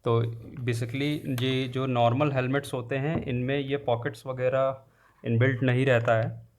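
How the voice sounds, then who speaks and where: Here is a man with an Indian accent and a mid-pitched voice. He speaks as a gear vlogger in a casual walkthrough style. He explains each point informally, slipping between Hindi and English phrases as he goes. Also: level tone, measured pace, a little hiss or hum. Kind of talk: casual unboxing and installation walkthrough.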